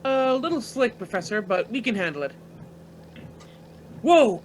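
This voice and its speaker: A man's voice: extremely high pitch, big pitch swings, measured pace, slight background noise, full character voice. An actor playing a cocky, breezy young male driver in a radio play. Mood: easygoing, alert. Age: young adult.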